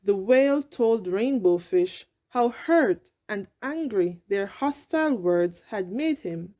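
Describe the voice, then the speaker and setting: A woman reads a children's story aloud at a slow pace. Her voice sits at an average pitch, and she tells the tale deliberately and with expression. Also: lively intonation, dark tone. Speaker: storybook reader. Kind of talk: children's read-aloud narration.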